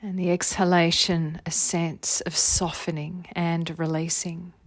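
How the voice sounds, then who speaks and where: A woman leading a guided meditation as a teacher, giving gentle, soothing body-scan instructions in an unhurried way. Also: on the low side, little pitch movement, dry recording. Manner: soothing, unhurried, gentle instruction with long phrases trailing softly.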